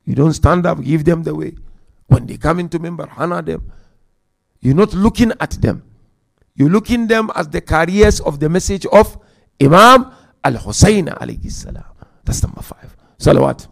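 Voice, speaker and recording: A man delivers a religious lecture at a mourning gathering, working through numbered points and now and then chanting scripture quickly. His voice sits a little high and has a gravelly edge. He swings between loud emphasis and quiet asides. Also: lively intonation. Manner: counts points aloud, chants Quran verses quickly. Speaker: Muharram majlis speaker.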